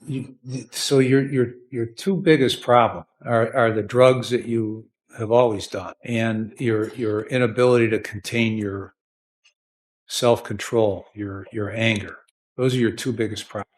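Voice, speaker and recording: A man's voice: on the low side, steady tone, measured pace. An American man speaking as a judge in a courtroom, questioning and lecturing bluntly, repeating himself and often checking with "okay?".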